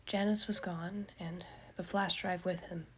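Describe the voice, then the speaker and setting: A woman getting over a cold, reading fan fiction aloud in a hushed, breathy voice. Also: lowish voice, steady tone, unhurried. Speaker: podfic reader.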